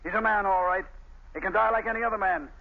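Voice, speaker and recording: A man's voice: sits high, fast, gravelly. An actor playing a ruthless male gang boss in a 1930s radio drama, snarling out commands and threats. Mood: menacing. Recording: tinny, hissy old radio broadcast.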